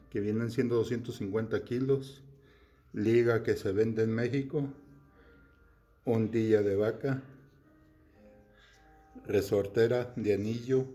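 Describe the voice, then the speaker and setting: Slow, mellow-voiced man in his fifties. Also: mid-range voice. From Texas. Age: 50s. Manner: plain, unhurried explaining with pauses and restarts. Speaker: slingshot shooter. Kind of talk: casual demonstration to camera.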